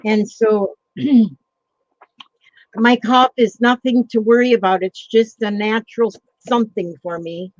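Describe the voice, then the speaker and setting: A woman's voice: a little high, fairly even intonation, takes it slowly, no room sound. A woman hosting a casual livestream chat, rambling along with frequent ums and greeting viewers by name. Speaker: livestream host. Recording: dull lo-fi sound.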